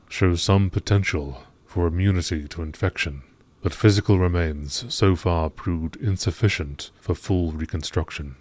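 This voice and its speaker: A man reading scripted narration in a measured, formal nature documentary style. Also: sits low, darkish tone, dry recording. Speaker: gaming channel narrator.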